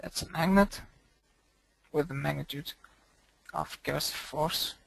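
A man presenting a software tutorial as a screencast voice-over, speaking haltingly in short broken phrases. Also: a little high, vocal fry, dry recording, low-quality recording.

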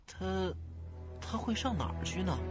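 A man's voice: sits high, expressive, measured pace. An actor playing an emotional, troubled young man in a radio drama. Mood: weak, anxious. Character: bitter, accusing young man, anxious son at home. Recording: dull, dry lo-fi audio, faint music.

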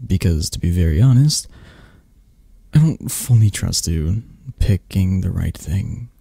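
A man playing a possessive, flirty mafia boss who is a tender lover, in a soft, intimate, halting ASMR romance roleplay. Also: sits low, animated, gravelly, husky, no room sound, studio quality, full character voice. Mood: relaxed, possessive.

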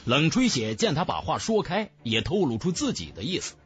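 A man's voice: fairly high pitch, wildly swooping pitch, brisk, a bit bright, soft background music. A man reading a fantasy novel as a dramatic audiobook narrator.

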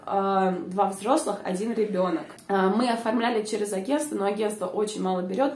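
Hoarse young woman vlogging at home. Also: medium pitch, a little mellow, reverberant, far from the mic. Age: young adult. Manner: chatty, many filler sounds. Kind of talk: casual informative vlog. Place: small room indoors. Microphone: lapel mic.

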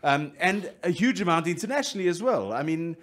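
A middle-aged man with a South African accent hosting a radio interview, jokey and lively, often restarting his sentences. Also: on the high side, brisk. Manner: jokey, lively, restarts a lot, plugs the station. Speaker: radio host.

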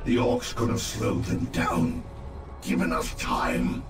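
A man's voice: low-pitched, very animated, takes it slowly, full character voice. A man playing a haughty, grim alien warlock in a video game, speaking with imperious theatrical disdain through an echo. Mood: wrathful, bitter. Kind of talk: video game character acting. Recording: echoing, distant, loud music behind.

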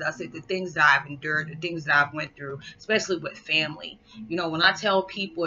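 Candid woman speaking to a webcam. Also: mid-range voice. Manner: candid, emphatic, animated hand gestures. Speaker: vlogger. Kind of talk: personal testimony vlog. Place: small living room indoors. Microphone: webcam built-in mic. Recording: dull lo-fi webcam audio.

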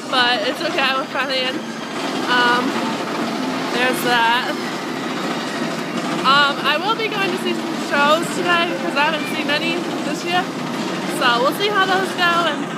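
Young woman, slightly high voice, rambling park tour, phone mic outdoors, music in background. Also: poor audio. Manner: chatty, meandering, lots of ums. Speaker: theme park vlogger. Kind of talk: casual walking tour commentary. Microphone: handheld phone camera at arm's length.

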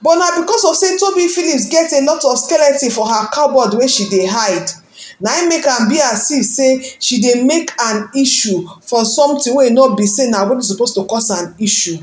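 A woman's voice: high-pitched, lively intonation, normal speed, echoey room. Dramatic Nigerian Pidgin gossip host woman. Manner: dramatic, rhetorical, addresses viewers as "my people". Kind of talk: celebrity gossip commentary.

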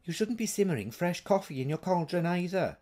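A man's voice: sits high, lively intonation, brisk, mellow, voice pushed up. A man voicing a haughty, sulky witch woman who talks in an indignant, fretful way in a storybook reading. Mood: pointed, reproachful.

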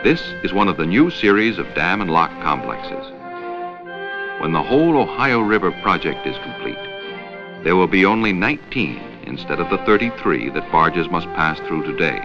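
A dull vintage film soundtrack with music carries a man's low American voice. He narrates an educational film in a measured, instructional way, with lively rises and falls in pitch. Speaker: documentary narrator.

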